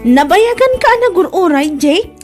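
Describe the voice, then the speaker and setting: A woman's voice: extremely high pitch, lively intonation, measured pace, a little mellow, faint music behind. A woman with an Ilocano Filipino accent acting a village woman in a comedy drama. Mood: gentle, concerned.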